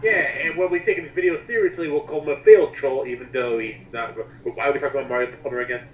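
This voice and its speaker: A man's voice: mid-range voice, fast. A man joining as a guest commentator, chatting casually and riffing with sarcastic jokes. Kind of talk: casual joking chat. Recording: dull, roomy, slightly noisy voice-chat audio.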